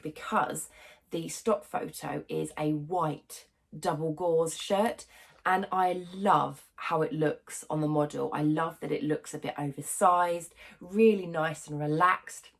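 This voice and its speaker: A young woman with a cold talks casually to her camera, her voice stuffy and congested. She sits fairly low in pitch with a gravelly, fry-edged texture, and fills her chatter with ums and soft laughs. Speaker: sewing channel host.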